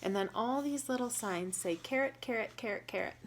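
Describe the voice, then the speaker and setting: A woman reading a picture book aloud to young children, pausing to explain and ask questions in a deliberate, instructive way. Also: fairly high pitch, a little hiss or hum.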